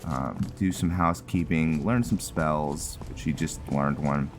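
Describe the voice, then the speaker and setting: Very low, slow-talking man doing gameplay commentary. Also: steady tone, faint music behind. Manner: thinking aloud, halting. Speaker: gaming channel host. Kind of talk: casual roleplay gameplay commentary.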